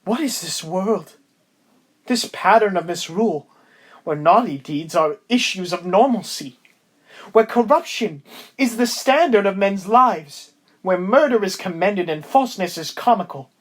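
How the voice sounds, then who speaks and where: A man's voice: high voice, expressive, unhurried. A man playing an earnest, aggrieved lieutenant in love in an audio drama, with declamatory, formal period diction. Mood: despairing, declamatory. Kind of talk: stage-play acting in an audio drama.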